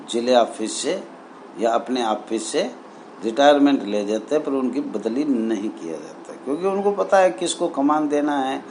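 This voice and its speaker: A man addresses association members as their leader, reading out documents and commenting in deliberate, halting short phrases. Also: medium pitch, rough recording. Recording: steady background noise.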